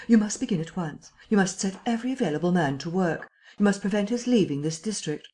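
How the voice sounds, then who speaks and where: A woman's voice: mid-range voice, lively intonation, quick pace, mellow, voice pushed up, natural voice. A woman reader voicing an agitated man who urgently presses the police to act, speaking in breathless commands.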